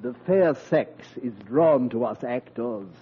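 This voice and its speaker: A man's voice: medium pitch, lively intonation, a little slow, natural voice. An actor playing a vain, womanizing old-school thespian in 1940s radio comedy style, plummy, pompous and declamatory. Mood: grandly declaiming. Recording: muffled, noisy old radio recording.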